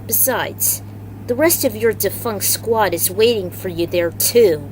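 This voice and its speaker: A woman's voice: medium pitch, measured pace, darkish tone, slight background noise, natural voice, rough recording. A woman voicing a sarcastic, brash female demon warrior with a venomous, taunting manner in a fantasy story. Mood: impatient. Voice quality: alto.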